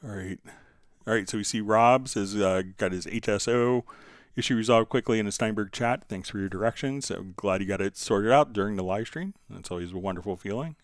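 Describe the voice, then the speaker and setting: A man's voice: fairly low pitch, brisk, no room sound, studio quality. A man hosting a live question-and-answer stream, talking casually and explaining things in an informal, rambling way with asides. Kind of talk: casual unscripted Q&A talk, reading out viewer questions.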